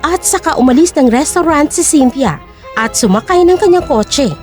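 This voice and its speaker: A woman narrates an audio drama in a high voice. Her scripted delivery is dramatic and moves up and down a lot. Faint music plays behind the story. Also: animated, normal speed, a little mellow.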